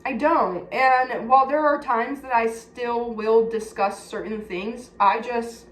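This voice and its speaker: A woman's voice: fairly high pitch, takes it slowly. A woman with a Californian accent chatting candidly and casually to her viewers, confiding and blunt. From California, United States. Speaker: personal vlogger. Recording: echoey room with faint background noise.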